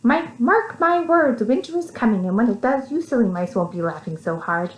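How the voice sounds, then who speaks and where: A woman's voice: fairly high pitch, lively intonation, moderate pace, roomy sound, voice pushed up, close to an everyday voice, rough recording. A woman voicing a grouchy, solemn female mouse in a children's story, stern and emphatic. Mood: scolding.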